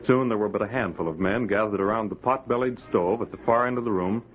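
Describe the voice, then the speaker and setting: A man's voice: lowish voice, fast talker. A man reading dramatic scripted narration as the narrator of an old-time radio adventure. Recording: tinny, noisy old radio recording.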